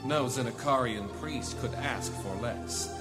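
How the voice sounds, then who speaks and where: An actor playing a taunting, cruel high priest in a fantasy audio drama, with a mocking, grandiose, sinister delivery. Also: male voice, measured pace, over loud music, close to an everyday voice. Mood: smug taunting.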